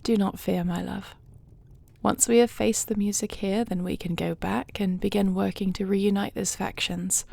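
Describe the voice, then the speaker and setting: A woman playing a dragon queen and devoted wife in an audio roleplay, speaking in a formal, measured, earnest way. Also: mid-range voice, steady tone, vocal fry, darkish tone. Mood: reassuring, resolute.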